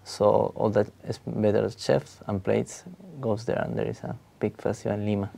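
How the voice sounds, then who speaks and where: Young man, Peruvian Spanish accent, halting, gravelly voice, mellow tone. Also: on the low side, level tone. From Peru. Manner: halting, searching for words. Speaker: exam candidate. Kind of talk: spoken exam answers.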